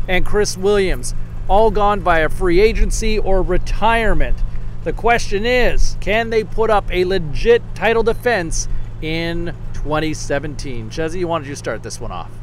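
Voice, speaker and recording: A man's voice: sits high, measured pace. Playful man hosting outdoors by traffic. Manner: joking, energetic segment intros. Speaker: podcast host. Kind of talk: casual sports podcast hosting. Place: outdoors on a bench by a seawall. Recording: faint outdoor traffic noise. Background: faint traffic.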